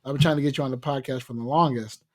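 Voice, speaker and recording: A man's voice: mid-range voice, fairly even intonation, rapid-fire. A man hosting a casual podcast interview, rambling and repeating words as he searches for what to say. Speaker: podcast host.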